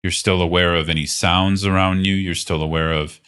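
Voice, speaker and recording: A man leading a guided meditation as a teacher, speaking gently and unhurriedly with long pauses. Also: deep voice, level tone, clean tone.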